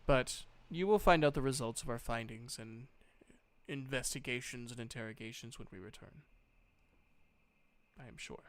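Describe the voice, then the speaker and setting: A man voicing a composed female veteran officer in a tabletop game, speaking in a measured, polite, official way. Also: medium pitch, close to an everyday voice. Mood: formal, composed.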